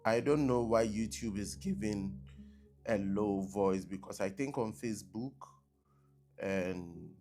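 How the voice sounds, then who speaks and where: A man preaching on a live stream who repeats his blessings and keeps asking viewers whether he can be heard and seen clearly. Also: fairly low pitch, slow, warm tone, soft background music. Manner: repetitive, checking with online viewers. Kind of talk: live-streamed sermon opening. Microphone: laptop mic on a live stream.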